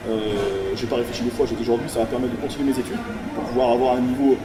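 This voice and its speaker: A man's voice: medium pitch, little pitch movement, racing, reverberant, recorded from a distance, rough recording. A man in his thirties giving a hesitant testimonial about his career as a manager, with many fillers. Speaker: national events manager, former apprentice. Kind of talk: corporate video testimonial.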